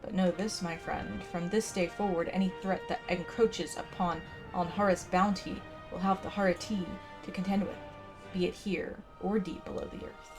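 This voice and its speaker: A woman's voice: on the low side, fairly even intonation, normal speed, vocal fry. A woman hosting a casual game playthrough, chatting and reading quest text aloud. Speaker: gaming video host. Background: game music.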